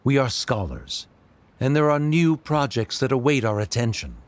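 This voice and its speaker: A man voicing a stern scholar and father who argues in a measured, coldly logical, lecturing way. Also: mid-range voice, very animated, noisy background. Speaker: debating scholar. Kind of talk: video game voice acting.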